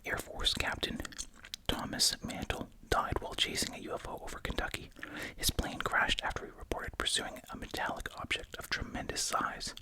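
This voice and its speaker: An ASMR host speaking entirely in a soft, breathy whisper, musing casually while chewing gum. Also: normal speed, low-quality recording.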